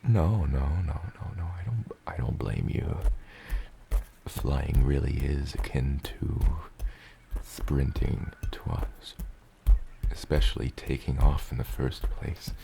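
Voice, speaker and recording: Giant gentle dragon dad for asmr roleplay, super crackly growly low voice, dark and mellow, tender and eloquent. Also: male voice, heavily acted. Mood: gentle, explaining. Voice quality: very deep gravelly rumble, breathy. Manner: slow, tender, eloquent, slightly old-fashioned wording. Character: huge, gentle, doting father dragon.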